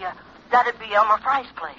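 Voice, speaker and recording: A man playing a spooked, simple backwoods farmer in a 1940s radio drama, with a rural American accent, halting and folksy, over a telephone. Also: high voice, pushed and bright. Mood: uneasy, earnest. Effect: telephone filter. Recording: tinny, hissy old radio phone line.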